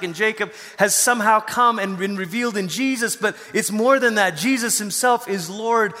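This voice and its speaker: A middle-aged male pastor with a bright, forceful, fairly high voice preaches in a conversational style. He is an informal, animated storyteller, and his words ring with the long reverb of a large hall. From Malaysia. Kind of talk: conversational sermon with personal storytelling.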